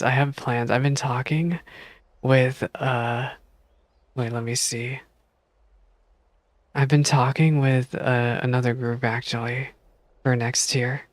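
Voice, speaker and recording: A woman streamer chatting casually while reacting to a game, halting and repeating phrases as she talks. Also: extremely low pitch, fairly even intonation, dry recording. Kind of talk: casual live reaction chat.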